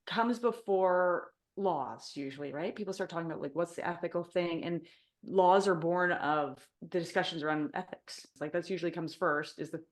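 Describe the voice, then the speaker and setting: A woman's voice: lowish voice, normal speed. A woman in casual book club chat, reflective and talkative, with frequent "like" fillers. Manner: chatty, reflective, says "like" often. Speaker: book club podcast member.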